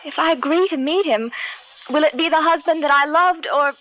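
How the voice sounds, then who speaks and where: A woman's voice: sits high, moderate pace, fairly bright tone. An actress in a radio drama playing a wounded, lovelorn estranged wife who confides in her father. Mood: anguished. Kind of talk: 1970s radio drama acting. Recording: dull old radio recording.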